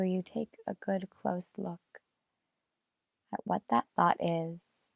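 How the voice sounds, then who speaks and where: A woman leading a guided meditation in a measured way, leaving long pauses between phrases. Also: on the low side, creaky, no room sound, clean studio sound.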